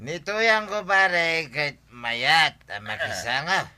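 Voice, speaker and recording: An actor with an Ilocano accent playing a chummy, teasing drinking buddy in a radio comedy drama. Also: male voice, fairly high pitch, slow pace, pushed and bright, clear, resonant tone, heavily acted.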